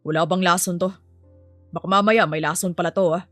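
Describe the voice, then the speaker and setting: A woman's voice: on the low side, steady tone, brisk, fairly bright tone, no echo, faint music behind, pitched below the performer's own voice, close to an everyday voice. A woman voicing a serious, terse male boss and father in a dramatised story, curt and dry.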